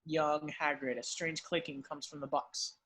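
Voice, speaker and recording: A man narrates screenplay stage directions in a scripted read-through. His voice is fairly high and bright, with a husky grain. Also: flat delivery, a little slow.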